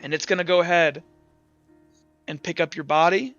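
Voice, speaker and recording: Guy game mastering, high bright voice, halting dramatic narration, bit muffled with music behind. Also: fairly even intonation. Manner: halting, dramatic, swears casually. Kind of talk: improvised tabletop game narration.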